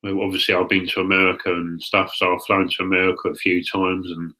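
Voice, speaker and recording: A man's voice: low voice, monotone, a little fast, sharp tone, poor audio. A young man with a stuffed-up, congested voice, casually chatting to viewers in a live hangout, rambling with fillers and restarts. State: congested, stuffed-up nose and throat. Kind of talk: casual solo chat to viewers.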